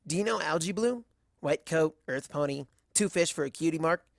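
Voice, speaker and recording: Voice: medium pitch, lively intonation, normal speed, gravelly, dry recording, clean studio sound. A narrator voicing a casual, blunt young female pony in a fan story. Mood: matter-of-fact.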